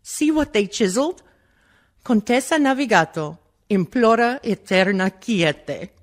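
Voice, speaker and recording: A woman playing a worldly, scheming rich widow in a theatrical radio monologue, with slow and dramatic phrasing. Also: fairly high pitch, expressive. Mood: worldly, sly. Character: worldly, insidious, much-married rich woman telling her life from the grave.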